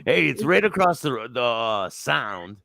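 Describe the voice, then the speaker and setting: A man's voice: medium pitch, very animated, a little slow, gravelly, bright, forceful tone. A man hosting a casual live chat in a slangy, joking, streetwise way. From Connecticut, United States. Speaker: host.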